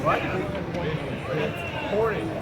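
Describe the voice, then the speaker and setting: A man telling an improvised comic story to a live crowd, theatrical and halting, heckling his own tale with asides. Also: sits high. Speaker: storyteller leading an audience word game. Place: busy public venue with a crowd. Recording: noisy crowded public space, loud background bustle.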